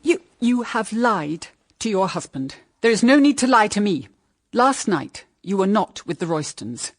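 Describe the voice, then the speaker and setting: Stern English mother, expressive. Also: mid-range voice. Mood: grave reproach. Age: middle-aged. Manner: measured, grave, firm. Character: stern, anxious middle-aged English mother. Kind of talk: BBC radio drama acting.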